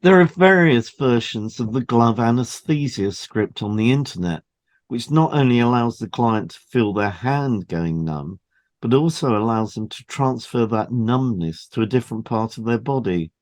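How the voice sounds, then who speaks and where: A man's voice: mid-range voice, expressive, moderate pace, darkish tone, no echo. Man presenting an educational podcast, dry sound. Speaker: podcast co-host and hypnotherapist. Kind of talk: scripted educational narration.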